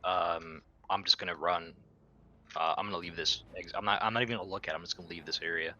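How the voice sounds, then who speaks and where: A man playing a tabletop roleplaying game, talking casually in a loose, halting, slangy way. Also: deep voice, steady tone, pushed and bright, highs cut off.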